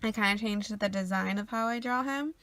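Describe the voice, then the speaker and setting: A woman's voice: mid-range voice, fairly quick, fairly bright tone, no echo. A sniffly woman rambling casually with lots of ums and uhs as she shows her sketchbook. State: sniffly after a cold. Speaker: artist showing her sketchbook.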